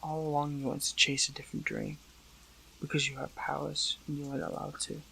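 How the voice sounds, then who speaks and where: A man playing a weakened captive young superhero in an intimate audio roleplay, hurt but earnest, speaking haltingly with emotion. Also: fairly high pitch, little pitch movement, vocal fry, sharp tone, a little hiss or hum, full character voice, poor audio. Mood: concerned, subdued.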